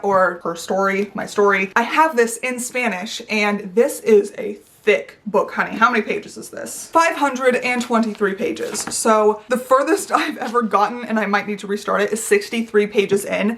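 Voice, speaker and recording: A woman's voice: mid-range voice, fairly bright tone, a little hiss or hum. A young woman talking to her viewers in an unscripted, rambling vlog chat with playful asides. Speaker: YouTuber. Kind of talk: unscripted casual chat to camera.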